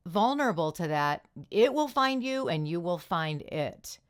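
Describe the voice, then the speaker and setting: A woman with a somewhat low voice hosts a casual podcast. She speaks reflectively and builds on her guest's points, often backing her up with quick agreement. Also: very animated, clear, resonant tone. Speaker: podcast host.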